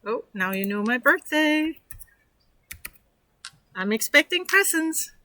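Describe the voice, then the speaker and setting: A woman's voice: high voice, very animated, slow pace, bright, forceful tone, no echo. A woman from Denmark with a Danish accent, walking viewers through a tutorial in a chatty, encouraging way with lots of fillers. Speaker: online course instructor. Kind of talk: casual screen-share tutorial.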